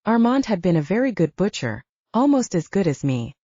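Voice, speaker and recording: A woman's voice: mid-range voice, sing-song, brisk, warm tone, dry recording, muffled recording. A woman reading scripted first-person fiction as an audiobook narrator.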